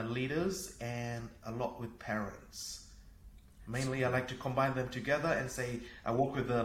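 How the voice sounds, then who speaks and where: Male podcast guest in an echoey room. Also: medium pitch. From Fiji. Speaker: podcast guest, consultant and coach. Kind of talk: conversational interview. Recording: roomy, echoey sound.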